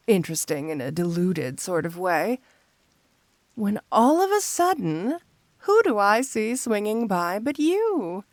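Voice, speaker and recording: A woman's voice: medium pitch, no room sound, studio quality. A woman playing a flirty, teasing supervillain cat burglar in an intimate ASMR roleplay, speaking coyly to the listener. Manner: coy, teasing, intimate, unhurried.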